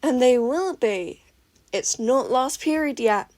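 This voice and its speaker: A woman voicing a gentle, patient teenage boy tinkerer who speaks calmly and reassuringly. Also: high voice, takes it slowly. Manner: calm and reassuring.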